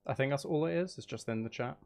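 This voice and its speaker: A man's voice: mid-range voice, quick pace, creaky, darkish tone. A man chatting casually as he hosts a live game stream, playfully mock-dramatic and self-deprecating with his viewers. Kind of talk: casual chatty gaming livestream talk with viewers.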